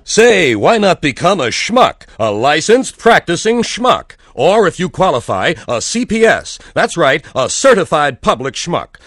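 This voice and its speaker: A man's voice: a little high, sing-song, unhurried, hardly any pauses, fairly bright tone, no echo, studio quality. A man delivering a parody advertisement as mock-earnest sales patter. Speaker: comic pitchman.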